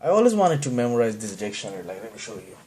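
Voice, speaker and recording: A man's voice: lowish voice, sing-song, rapid-fire, mellow. A man from Bangladesh with a Bangladeshi accent, hosting a podcast in a rambling, self-deprecating monologue that keeps trailing off and restarting. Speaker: podcast host.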